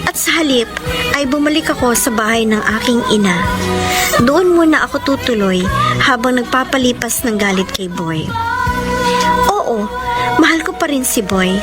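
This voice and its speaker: A woman playing a long-suffering, devoted wife and mother in a Filipino radio melodrama, earnest and plaintive, with a Filipino accent. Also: on the high side. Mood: sorrowful. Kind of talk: Filipino radio melodrama acting and confessional narration.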